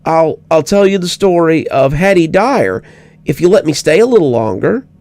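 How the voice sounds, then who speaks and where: Man voicing a pleading little girl, high-pitched. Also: big pitch swings, a little mellow, dry recording. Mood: eager, bargaining. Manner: halting, stammering, old beyond her years. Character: little six-year-old ghostly girl, pleading, eager storyteller.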